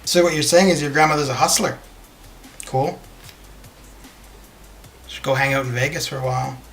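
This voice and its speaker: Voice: mid-range voice, moderate pace, fairly bright tone. Rambling game streamer over faint music. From northern Canada. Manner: rambling, hesitant, many fillers, chuckles often. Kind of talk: casual live-stream chat with viewers. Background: faint background music.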